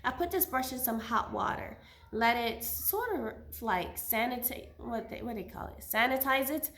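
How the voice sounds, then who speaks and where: Woman, 30s, slightly high voice, just over a cold, chatty vlog talk. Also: animated, normal speed, fairly bright tone. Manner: chatty, friendly, sprinkles religious phrases. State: recovering from a cold. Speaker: hijab seller and vlogger. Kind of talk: casual hair care vlog. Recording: echoey room.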